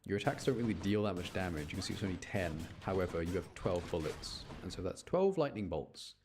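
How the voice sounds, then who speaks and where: A man's voice: deep voice, animated, a little fast, over music. A man doing casual, chatty live gameplay commentary, explaining mechanics and muttering to himself, with game sound behind him. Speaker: game streamer. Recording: clear background noise, game sounds behind. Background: video game sound.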